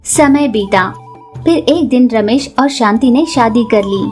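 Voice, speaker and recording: A woman's voice: on the high side, expressive, unhurried, darkish tone, faint music behind. A woman narrating a scripted moral story for children as a storyteller.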